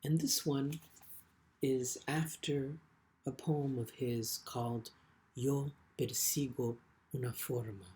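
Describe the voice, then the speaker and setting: Slow, deliberate man reading poetry. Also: mid-range voice, fairly even intonation, dark tone. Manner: deliberate, measured phrasing with frequent pauses. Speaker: poet reading own work. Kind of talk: literary poetry reading with brief spoken introductions.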